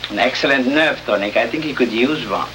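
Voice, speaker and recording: A scornful Latin American newspaper editor, played by a male radio actor, speaks in a middle-pitched voice with grand, sarcastic flourishes and an undertone of menace. It is old-time radio drama, with clear noise over the recording. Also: a little fast, lightly acted. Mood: sardonic, accusing. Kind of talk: 1950s radio drama acting.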